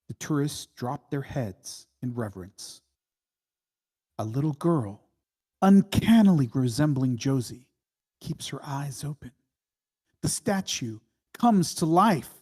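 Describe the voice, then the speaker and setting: A man narrating a screenplay's stage directions at a table read, with deliberate, dramatic phrasing. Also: a little high, a little mellow.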